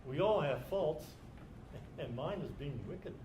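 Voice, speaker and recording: A man's voice: fairly high pitch, animated, measured pace, lo-fi sound. A man performing as a reader in a staged literary dramatization. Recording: echoey live stage recording, faint noise.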